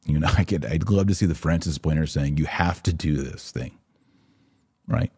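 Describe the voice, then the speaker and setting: A low, mellow-toned man delivers religious commentary as a podcast host. His voice is slightly husky and very dryly recorded, and he explains things in an emphatic, conversational teaching manner. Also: normal speed, dry recording, studio quality. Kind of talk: live religious commentary, answering listener questions.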